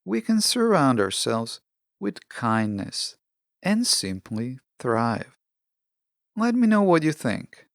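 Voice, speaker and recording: Man with creaky voice, solo podcast. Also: medium pitch, very animated, slow, no room sound, clean studio sound. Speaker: podcast host. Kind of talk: solo self-help podcast monologue.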